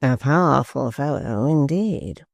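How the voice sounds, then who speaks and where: A man's voice: medium pitch, expressive, unhurried, vocal fry, dry recording, clean studio sound. A man reading a storybook aloud, voicing a mild, ingratiating male secretary. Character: mild, sly male secretary, smoothly flattering.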